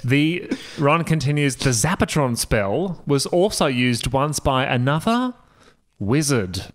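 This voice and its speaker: A man's voice: medium pitch, big pitch swings, slow pace. A man reading a story aloud for comedy, with joking asides and an amused delivery that often tips into laughter. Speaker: podcast guest reading aloud.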